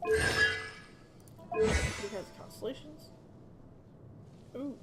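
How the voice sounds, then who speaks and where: A woman's voice: high voice, very slow. A woman chatting casually as she plays a game, musing aloud in a playful way. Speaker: game streamer. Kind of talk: casual gameplay chat. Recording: music playing behind the voice. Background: game music.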